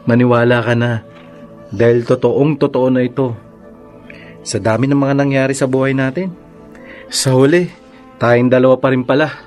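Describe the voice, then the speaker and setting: An actor playing a polite, earnest old flame in a radio drama, courteous and a little hesitant. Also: male voice, mid-range voice, fairly even intonation, moderate pace, long pauses, darkish tone, faint music behind, natural voice. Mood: tender. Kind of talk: Filipino radio drama acting.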